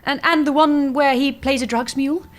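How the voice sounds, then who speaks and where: A woman's voice: sits high, steady tone, moderate pace, natural voice. An actress playing a scatterbrained, gushing woman customer in a scripted audio comedy, cooing as if to a baby. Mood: besotted.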